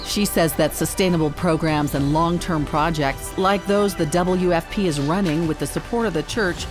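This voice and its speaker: A woman narrating a news report in a measured, scripted delivery. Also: on the low side. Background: music bed.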